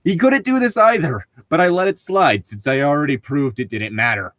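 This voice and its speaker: A man's voice: on the high side, moderate pace, a bit bright, dry recording. A man co-hosting a podcast, chatting casually and reading stories aloud. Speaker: podcast co-host.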